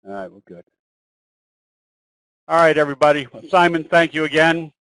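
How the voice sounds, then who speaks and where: A man's voice: fairly high pitch, lively intonation, normal speed, a bit bright, no echo, low-quality recording. A man hosting a live webinar, wrapping up informally with fillers and thanks to his guest. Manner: loose, informal wrap-up with fillers. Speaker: host.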